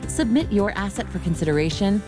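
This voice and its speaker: A woman reading a scripted radio ad in a clear, steady promotional tone. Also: medium pitch, fairly quick, music in the background. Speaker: ad announcer.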